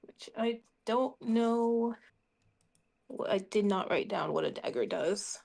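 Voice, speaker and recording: A woman's voice: mid-range voice, takes it slowly, vocal fry, a little mellow. A woman chatting casually as a player in a tabletop game, sounding unsure and questioning.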